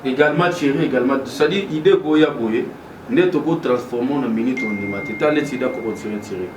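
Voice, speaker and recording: Halting man, far and echoey. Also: medium pitch. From DR Congo. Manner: halting, mixes French and Lingala. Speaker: guest. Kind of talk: casual interview talk. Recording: echoey room, far mic, noisy.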